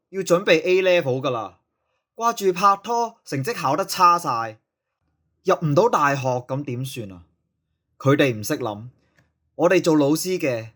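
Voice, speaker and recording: A man acting as a strict male schoolteacher in a Hong Kong Cantonese audio drama. He sounds self-righteous and lecturing. His voice sits a little high. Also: fairly bright tone.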